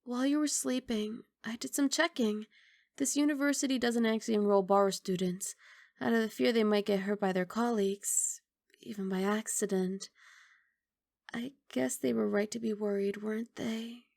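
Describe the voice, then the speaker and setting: A woman playing a young, sweet, apologetic giant dragon girl in an intimate ASMR roleplay, soft and coaxing with hesitant rambling. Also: a little high, fairly even intonation, moderate pace, gravelly, no echo. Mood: sheepish. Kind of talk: ASMR roleplay, intimate one-sided dialogue.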